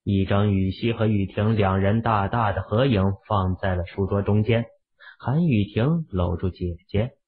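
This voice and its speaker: Low-voiced male narrator, phone-like sound. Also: unhurried, slightly rough. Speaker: audiobook narrator. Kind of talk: scripted fiction narration.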